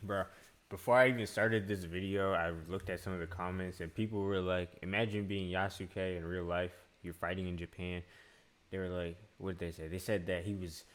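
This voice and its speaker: A man's voice: low voice, steady tone, moderate pace. A young man who grew up in Asia, hosting a casual reaction video and chatting in a laid-back, slangy way. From grew up in Asia (Hong Kong, UAE, India). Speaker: YouTuber reacting to a trailer.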